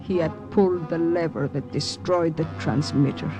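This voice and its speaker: A woman's voice: low voice, steady tone, dull audio, over loud music, lightly acted. An actress playing a devoted, anxious wartime woman agent in an earnest, dramatic old-time radio style. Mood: sorrowful. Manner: earnest, dramatic, deliberate phrasing. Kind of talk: 1940s-50s radio drama acting.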